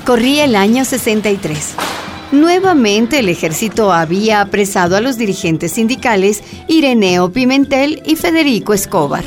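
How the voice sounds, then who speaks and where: A woman narrating a scripted radio drama in a measured storytelling manner. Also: fairly high pitch, expressive, soft background music.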